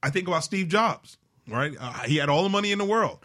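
Man, 40s, slightly high voice, reflective motivational guest. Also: expressive, brisk, bright, forceful tone. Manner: reflective, leans on "right?" and "you know". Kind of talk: motivational talk.

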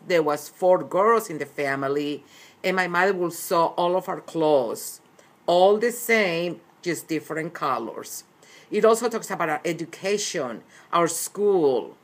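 A woman's voice: fairly low pitch, a bit bright. A middle-aged woman from Colombia, speaking earnestly and often repeating herself as an interviewed author. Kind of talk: recorded interview.

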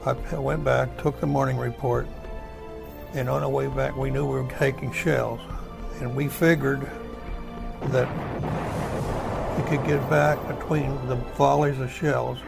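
An older American man, a war veteran, recalling his experience in halting, plain-spoken unscripted talk with a gravelly voice. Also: medium pitch, level tone, loud music behind the voice. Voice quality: creaky, gravelly. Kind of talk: unscripted oral history reminiscence.

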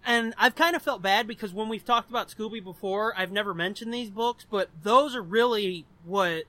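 A young American man with a notably high, bright voice, recorded close and dry. He hosts a podcast and talks informally, swearing freely as he rambles through personal anecdotes. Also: moderate pace, no room sound, rough recording. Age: 20s. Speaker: podcast host. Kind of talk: casual chat, telling personal anecdotes.

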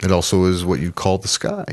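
A man's voice: low voice, fairly even intonation, moderate pace. A Canadian man chatting casually as a podcast co-host. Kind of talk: casual group chat about soccer.

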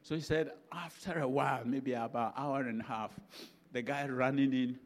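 A man's voice: mid-range voice, fairly even intonation, normal speed. Older man, ghanaian accent, chatty sermon story, easy going, lots of restarts. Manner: chatty anecdotal preaching with restarts and laughs. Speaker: preacher.

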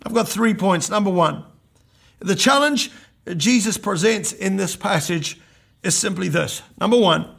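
An older male pastor preaching a sermon in a hoarse, croaky voice, reading scripture earnestly and with emphasis. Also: high-pitched, animated, a little slow, fairly bright tone.

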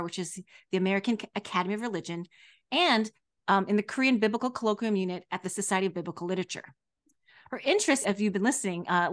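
A woman hosting an interview. She introduces the guest and asks friendly, teasing questions, with some fillers. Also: mid-range voice. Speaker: interview host. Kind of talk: conversational interview.

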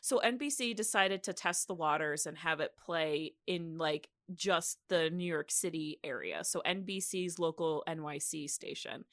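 A woman's voice: low voice, normal speed, few pauses, a bit bright, no room sound, studio quality. A woman co-hosting a podcast, telling radio history in a casual, giggly, conversational way. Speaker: podcast co-host.

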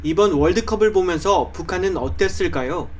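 News host guy, high voice, formal questions. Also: fairly quick, slight background noise.

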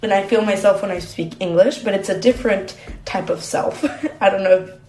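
A woman's voice: mid-range voice, a little fast, a little mellow. A woman whose first language is Spanish, answering interview questions in a reflective, easygoing way and laughing now and then. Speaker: interview guest. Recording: roomy echo.

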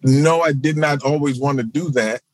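A man's voice: fairly high pitch, normal speed, no room sound. A middle-aged man from Baltimore, a pastor and social work professor, answering interview questions in a reflective way with fillers and restarts. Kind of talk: interview guest.

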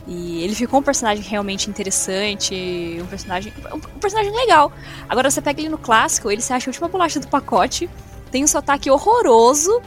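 Emphatic Brazilian woman, high-pitched, over music. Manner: emphatic, exclamatory, slangy. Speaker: podcast co-host. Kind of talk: casual chat.

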